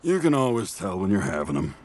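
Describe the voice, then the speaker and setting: Deep-voiced, easygoing wry buddy played by an actor. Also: male voice, sing-song, a little fast, darkish tone. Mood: teasing, playful. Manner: casual, playful, wry. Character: easygoing, teasing male family friend with a kind streak. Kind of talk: conversational audio drama dialogue.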